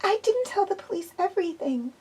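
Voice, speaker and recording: A woman voicing a nervous, jumpy young woman who speaks haltingly. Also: extremely high pitch, big pitch swings, mellow, voice pushed up. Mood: anxious. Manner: anxious, halting.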